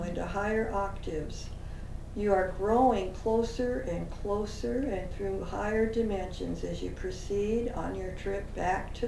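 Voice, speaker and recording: Woman, channeling medium, earnest, uplifting, drawn-out words, mid-pitched, distant mic, roomy echo. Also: darkish tone, a little hiss or hum. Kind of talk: channeled spiritual message. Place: meeting room with a group.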